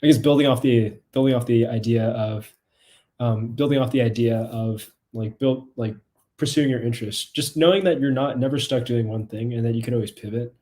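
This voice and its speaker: Young man, mellow and halting. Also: mid-range voice. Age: young adult. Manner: halting, repeats words. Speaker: documentary film subject on a Q&A panel. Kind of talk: casual panel chat. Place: small room at home, video call.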